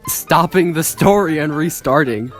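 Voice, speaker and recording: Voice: mid-range voice, a little slow. A speaker reading a script aloud in a casual, amused way, breaking into laughter and bantering along the way. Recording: background music under the voice.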